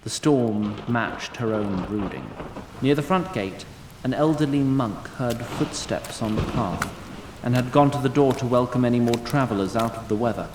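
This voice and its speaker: A man's voice: mid-range voice, normal speed, noisy background. A man narrating a scripted fantasy story in a wry storytelling manner.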